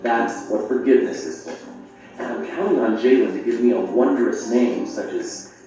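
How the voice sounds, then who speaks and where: A man's voice: mid-range voice, moderate pace, warm tone, soft background music, natural voice. An actor playing a cocky, carefree young male graduate in a theatrical audio drama, with breezy, formal phrasing. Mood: smug, breezy. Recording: drama playback in echoey room, distant.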